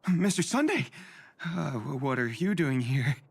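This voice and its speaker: An actor playing a nervous, deferential young underling in a video game, hesitant and stammering, with music behind him. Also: male voice, mid-range voice, big pitch swings, measured pace, creaky, slightly rough, full character voice.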